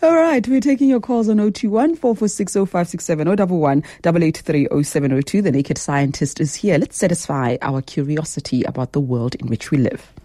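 A woman hosting a radio call-in, briskly reading out the number and bringing in callers. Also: deep voice, warm tone, dry recording, clean studio sound. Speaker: radio host.

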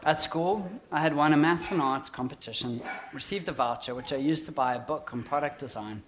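A man in his forties with a South African accent, giving a prepared, measured public talk on design. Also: on the high side, a bit bright, a little hiss or hum.

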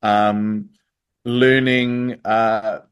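Hesitant New man, low voice. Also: little pitch movement, drawn-out words, clean tone. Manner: hesitant, many ums and restarts. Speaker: interview guest. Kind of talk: radio interview.

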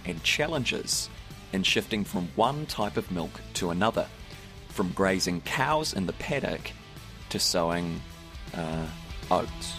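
Husky New Zealand man hosting a podcast. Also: sits low, fairly bright tone. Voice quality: slightly husky. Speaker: podcast host. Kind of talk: podcast narration and interviewing.

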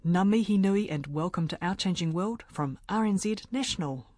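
A woman's voice: fairly low pitch, normal speed, a little mellow. A woman with a New Zealand accent reading scripted narration as a radio host. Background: music.

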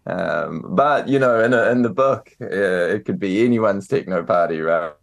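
A young New Zealand man answering interview questions in a relaxed, hesitant way, with many fillers and restarts. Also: sits low, moderate pace, creaky. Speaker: author and guest.

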